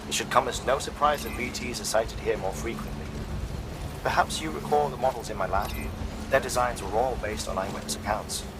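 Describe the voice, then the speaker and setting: A man's voice: medium pitch, a little fast, noisy background, lightly acted. A man playing a formal, lecturing scientist. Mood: courteous. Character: formal, courteous male scientist. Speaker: scientist character.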